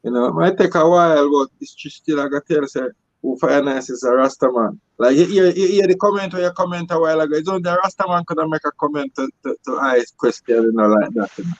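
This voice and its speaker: A man's voice: a little high, fast talker, lo-fi sound. A man with a Jamaican accent reasoning and telling stories casually, emphatic and repeating phrases to drive them home.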